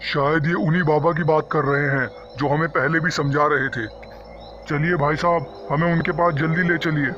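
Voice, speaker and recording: A man's voice: on the high side, fairly even intonation, moderate pace, background noise, lightly acted. A man voicing an earnest, urgent young man who leads his friends in a cartoon horror story. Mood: pleading. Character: guilt-ridden, pleading young man leading his friends. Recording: dull lo-fi audio under music.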